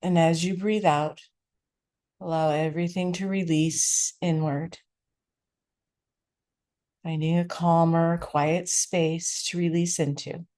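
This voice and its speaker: A woman with a low voice leads a guided meditation as its teacher. She speaks very slowly, offering gentle instructions in short phrases. Long, unhurried pauses separate one phrase from the next. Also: level tone.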